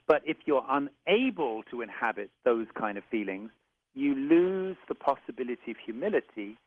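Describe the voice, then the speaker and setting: A man's voice: medium pitch, sing-song, normal speed, no echo. A man with a British accent, an author talking about his book in a radio interview in a reflective, searching way. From England. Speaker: author guest. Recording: phone-like radio audio.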